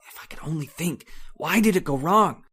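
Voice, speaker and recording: A man's voice: a little high, lively intonation, moderate pace, a bit bright, breathy edge. A man playing a haunted, domineering chemist in radio horror, with broken, repetitive, brooding speech. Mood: haunted, despairing. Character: haunted, obsessive male chemist, domineering and bitter. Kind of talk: old-time radio horror acting.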